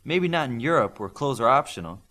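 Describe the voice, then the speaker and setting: A man voices a teenage boy superhero in an audiobook. He is modest and wary, speaking plainly in a somewhat raised, lively voice with an awkward, guarded manner. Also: medium pitch, fairly quick, pitched above the performer's own voice.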